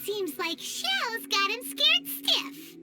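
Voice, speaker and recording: A woman's voice: extremely high pitch, sing-song, slow pace, exaggerated voice. A woman voicing a tiny, sassy, childlike sidekick creature in an anime-style game, with a cutesy, exaggerated delivery. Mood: matter-of-fact, curious. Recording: prominent background music.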